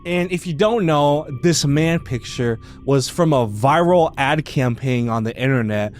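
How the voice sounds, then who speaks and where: A man's voice: medium pitch, measured pace, slight background noise. A man giving casual, opinionated video commentary in an informal, slangy way that is loose with swearing. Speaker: video essayist. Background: background music.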